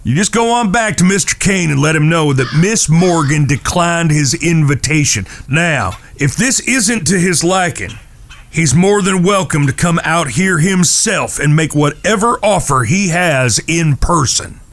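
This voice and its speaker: Lively-pitched, calm cowboy leader, acted by a man. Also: measured pace. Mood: calm warning. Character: calm, resolute male cowboy gunslinger leader. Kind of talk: Western audio drama acting.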